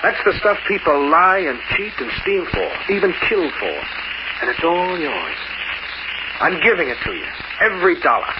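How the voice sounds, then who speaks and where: An actor playing a clever, vengeful safecracker in an old-time radio drama, taunting and theatrical. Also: male voice, a little high, sing-song, normal speed. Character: clever, menacing, vengeful safecracker narrating his downfall. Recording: muffled, very noisy old radio recording.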